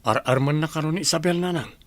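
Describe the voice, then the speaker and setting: An actor with an Ilocano accent playing an earnest, troubled grown son in a radio drama. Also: male voice, on the high side, fast, no echo, natural voice. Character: troubled, earnest adult son confiding in his mother.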